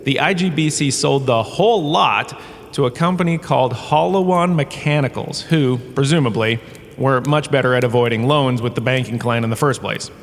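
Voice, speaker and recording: An actor playing a pompous, washed-up star turned flippant professor, lecturing in a theatrical, breezily dismissive way for a comic audio drama. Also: male voice, mid-range voice, moderate pace, lightly acted. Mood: amused, lecturing. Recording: long cave reverb, background ambience noise.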